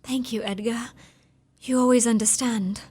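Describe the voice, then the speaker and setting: An actress playing an imperious, high-strung young married gentlewoman in a period radio drama, emotional and haughty in manner. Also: fairly even intonation, a little slow, slightly rough. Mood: anxious.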